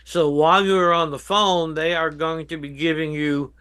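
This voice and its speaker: An older man with an American accent giving measured, emphatic advice as an expert guest in an interview. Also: on the high side, level tone, bright, forceful tone, clear, resonant tone. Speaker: guest expert, veteran emergency dispatch professional. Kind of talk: interview answers, explaining and advising.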